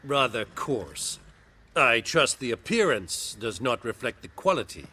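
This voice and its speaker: An imperious, sharp-voiced general. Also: male voice, mid-range voice, big pitch swings, a little slow, slightly rough, exaggerated voice. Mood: disdainful. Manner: formal, commanding, disdainful. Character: haughty, imperious male general of an invading empire. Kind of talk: fantasy video game acting.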